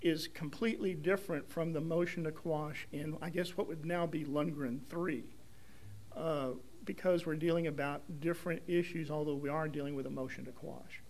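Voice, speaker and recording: A man attorney making an appellate oral argument, choosing his words carefully and haltingly. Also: a little high, fairly even intonation, darkish tone. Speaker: attorney arguing an appeal.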